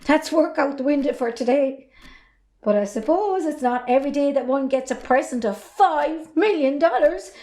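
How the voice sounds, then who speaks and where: A woman's voice: high voice, expressive, normal speed, dark tone. A young Irish woman acting a defiant, plain-spoken farm daughter in a stage drama. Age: young adult.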